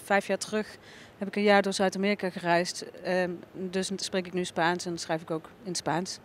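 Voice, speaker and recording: A woman's voice: fairly low pitch, flat delivery, normal speed, a little hiss or hum. A woman in her thirties, a singer-songwriter, answering interview questions in a casual, hesitant way with many filler sounds. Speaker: singer-songwriter and band leader. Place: venue backstage lounge, indoors. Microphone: handheld mic held close.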